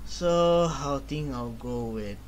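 Slow-paced young man streaming over noise. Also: medium pitch, poor audio. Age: young adult. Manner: halting, thinking aloud. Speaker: game streamer. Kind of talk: live gameplay commentary with reading aloud. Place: small indoor room.

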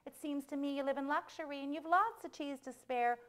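A woman's voice: high voice, a little fast, a bit bright, voice pushed up. Woman voicing a small theatrical pleading mouse. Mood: plaintive. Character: small female storytelling mouse, pleading, dramatic, chatty.

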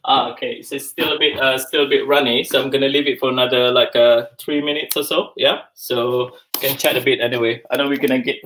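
Chatty chef with a bright voice. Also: male voice, mid-range voice, steady tone, fast, hardly any pauses, poor audio. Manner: chatty, thinks aloud with many fillers and restarts. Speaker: chef hosting a live cooking demo. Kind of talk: casual live cooking demonstration. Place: home kitchen, indoors. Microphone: video call camera, moving around the kitchen.

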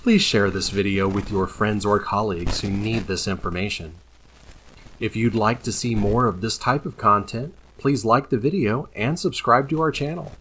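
A middle-aged man explaining a job in a friendly, steady, instructive way, partly on camera in a large indoor gym and partly in voice-over. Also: fairly low pitch, husky, rough recording. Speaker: flooring company owner.

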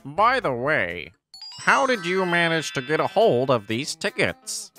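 A man's voice: fairly high pitch, big pitch swings, a little slow, music in the background. A man playing a level-headed, teasing young male friend in scripted manga dialogue.

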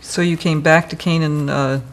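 Woman interviewer, gentle prompting questions. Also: low-pitched, fairly even intonation, moderate pace. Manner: gentle prompting with frequent "mm-hmm". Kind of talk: oral history interview.

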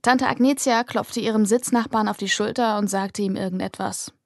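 A woman narrates a fantasy audiobook in a mid-pitched voice. The reading is scripted storytelling, and the recording is very dry with almost no room sound. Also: steady tone, moderate pace, a little mellow, no room sound. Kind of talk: scripted fiction narration, present tense.

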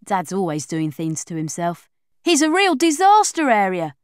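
A woman's voice: medium pitch, moderate pace, clear, resonant tone, no echo, studio quality, pitched below the performer's own voice, natural voice. A woman narrating an audiobook in the voice of a chatty young girl telling her own story. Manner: lively, expressive, confiding. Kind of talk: first-person audiobook reading.

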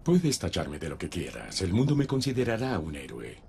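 An actor playing a ruthless, smug official in a Latin American Spanish audio drama, menacing and condescending. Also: male voice, low voice, huge pitch swings, normal speed, creaky, a little hiss or hum, heavily acted. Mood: cold, menacing. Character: ruthless, smug, murderous male official fleeing a doomed city. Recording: voice over loud background ambience.

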